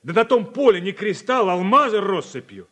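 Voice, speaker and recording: Eager village combine man, radio drama; high voice, folksy, good-natured, reassuring. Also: very animated, moderate pace, pushed and bright, full character voice. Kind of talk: Soviet radio play acting.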